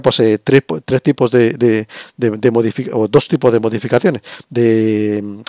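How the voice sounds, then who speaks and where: An older man lectures in a steady, middle-pitched voice, a little hoarse because he has a cold. He explains patiently, repeating points and filling pauses with small hesitations. The sound is close and dry, recorded at a desk at home. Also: no echo. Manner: explanatory, repetitive, many fillers and restarts. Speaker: university lecturer. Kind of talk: recorded lecture over slides.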